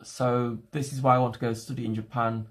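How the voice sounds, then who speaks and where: A young man whose first language is not English, chatting casually to his livestream viewers from home and thinking aloud in halting, restarting phrases. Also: mid-range voice, little pitch movement. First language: a home language other than English. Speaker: streamer.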